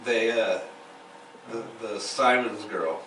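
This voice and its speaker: A man's voice: lowish voice, takes it slowly, pushed and bright. A man co-hosting a podcast, chiming in with casual, reactive chat. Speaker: podcast co-host. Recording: echoey room, distant mic, faint noise.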